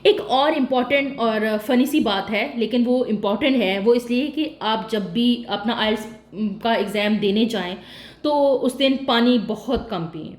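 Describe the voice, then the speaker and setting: A woman talks through exam tips in a casual, explanatory way, often posing a question and then answering it herself. Her voice sits a little high. The room around her is echoey. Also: unhurried, darkish tone. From Pakistan. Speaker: test-prep tutor. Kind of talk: casual spoken tutorial.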